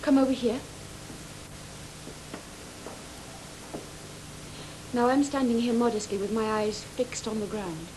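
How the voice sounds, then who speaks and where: An actress playing a defiant, mocking young stepdaughter in a stage drama, impassioned and scornful. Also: female voice, on the high side, brisk, close to an everyday voice. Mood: commanding. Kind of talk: theatrical drama acting. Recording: faint tape hiss.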